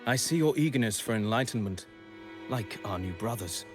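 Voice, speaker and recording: A man's voice: on the low side, animated, normal speed, music in the background, lightly acted. An actor playing a zealous, solemn male preacher of a cult in a game. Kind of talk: game voice acting.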